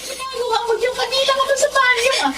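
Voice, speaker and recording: Impatient filipino mom, radio drama, really high, echoey, super noisy. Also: female voice, little pitch movement, fast, distant mic. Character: impatient, hurried mother calling out. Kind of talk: Tagalog radio drama acting.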